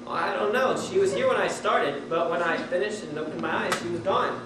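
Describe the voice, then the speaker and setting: A man's voice: high-pitched, a little fast, background noise, natural voice. Earnest, polite young suitor, played by a man in a stage comedy; distant mic, heavy echo. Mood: polite, puzzled. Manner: eager, polite, plain-spoken. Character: earnest, awkward young man on a blind date. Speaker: student stage actor. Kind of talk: live one-act stage comedy acting. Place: theatre stage, recorded from afar.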